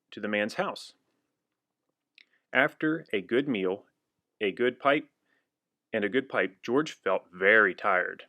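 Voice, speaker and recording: Low-voiced man reading a children's storybook. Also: a bit bright, no room sound. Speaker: volunteer reader of a children's book. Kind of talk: children's picture book read-aloud, animated storytelling.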